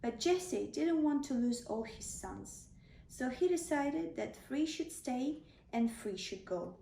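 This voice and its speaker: Woman narrator for children's bedtime tales: fairly high, unhurried, soft-toned, recorded in a roomy space. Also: animated, gravelly, a little hiss or hum. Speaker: storyteller. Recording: echoey room, slightly distant microphone.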